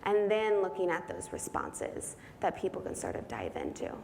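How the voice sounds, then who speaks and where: A woman's voice: fairly low pitch, huge pitch swings, normal speed, gravelly, darkish tone, a little hiss or hum. Earnest young woman answering questions. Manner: earnest, thinking aloud, frequent "right?" tags. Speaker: speaker answering audience questions. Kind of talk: Q&A answers at a talk. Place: conference room.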